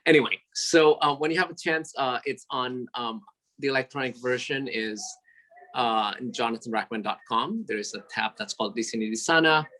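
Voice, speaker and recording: A man born in Indonesia with Javanese as his first language, an interior designer talking warmly about his work from his office in a casual interview, often pausing on fillers. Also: medium pitch. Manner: warm, sentimental, halting with many fillers. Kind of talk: casual interview over video call. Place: his office at a city store.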